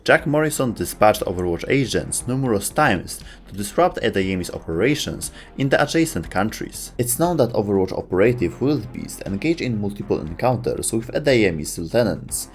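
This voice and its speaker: Low-voiced man narrating, lively intonation. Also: normal speed, hardly any pauses. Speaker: narrator of a video game lore explainer. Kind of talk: scripted narration. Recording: music under the voice. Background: music.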